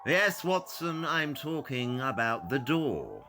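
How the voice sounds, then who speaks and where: An actor playing a cold, brilliant detective who speaks in a curt, condescending and precise way. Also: male voice, medium pitch, sing-song, takes it slowly, sharp tone, slight background noise, exaggerated voice. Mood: impatient. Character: cold, brilliant male detective, aloof and impatient. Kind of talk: audio drama acting. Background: faint piano music.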